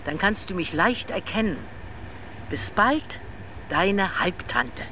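A woman's voice: mid-range voice, animated, slow pace, bright, forceful tone. A woman playing a kindly aunt in a children's radio play, reading her letter in a friendly, careful way. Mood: friendly, welcoming. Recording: clear vehicle noise behind. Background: vehicle ambience.

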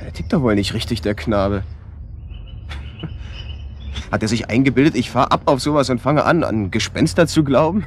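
An actor playing a cocky, sarcastic man in a naturalistic radio drama, talking in an expressive, colloquial way. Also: mid-range voice, normal speed, pauses often, slight background noise, close to an everyday voice. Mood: mocking, sceptical. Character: cocky, sarcastic man growing suspicious and alarmed.

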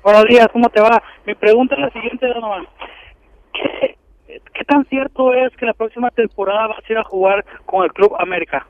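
High-voiced eager male phone caller. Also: brisk. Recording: dull phone line.

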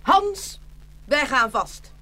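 A man's voice: very high voice, big pitch swings, very slow, sharp tone, exaggerated voice. A man playing a pompous, bookish eldest brother in a fairy-tale audio play. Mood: condescending. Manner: pompous, condescending.

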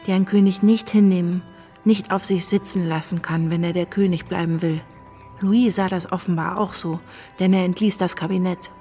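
An actress as a measured, observant woman narrator. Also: mid-range voice, mellow, natural voice. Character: observant wife of a revolutionary, recounting events. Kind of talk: first-person narration in radio drama.

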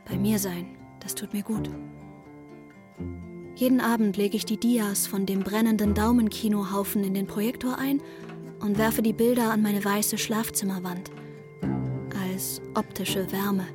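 A woman's voice: mid-range voice, fairly even intonation, unhurried, over music, natural voice. An actress playing a restless, introspective woman in her twenties, narrating a radio drama as an intimate inner monologue. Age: 20s.

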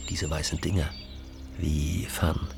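An actor playing a grim, fixated farmer of few words in a German radio play, with terse, clipped replies in a low, gravelly voice. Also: male voice, flat delivery, slow, music in the background, exaggerated voice. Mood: intent, hushed.

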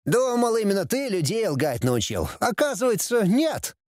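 A bratty young demon prince, pitched well up and very animated. He whines and taunts with gleeful insolence, a mocking son in a fantasy storybook. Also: normal speed, no echo, clean studio sound. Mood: smug, mocking.

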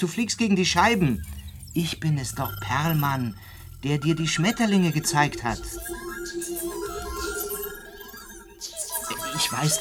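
An actor in a radio play as a lonely, distraught male lodger, talking haltingly and broodingly into a cassette recorder. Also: medium pitch. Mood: agitated, pleading. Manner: halting, brooding, swinging from murmurs to anguished cries. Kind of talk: dramatic radio play monologue.

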